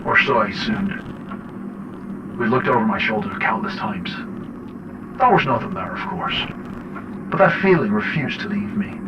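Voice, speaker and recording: A haunted Irishman on the run, played by a male actor, confides in his journal in a fairly low, brooding voice. The audio drama recording is dull, distant and clearly noisy carrying the narration. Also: big pitch swings, normal speed, pauses often. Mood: uneasy, wry. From Ireland. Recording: distant, dull lo-fi, heavy noise.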